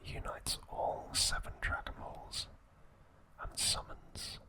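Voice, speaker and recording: A man narrating trivia for ASMR in a soft, breathy whisper, reading deliberately in short broken phrases. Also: poor audio.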